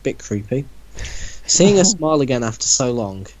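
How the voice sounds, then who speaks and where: A man's voice: mid-range voice, very animated, normal speed, rough recording. A man casually reading a story aloud to friends, bantering and breaking in with sceptical, teasing comments. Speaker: reader in a group reaction show.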